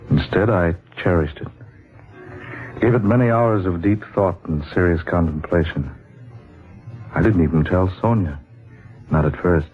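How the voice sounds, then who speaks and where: An actor playing an earnest younger male writer seeking truth, in dramatic 1940s radio style. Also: low voice. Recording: muffled band-limited 1940s radio recording.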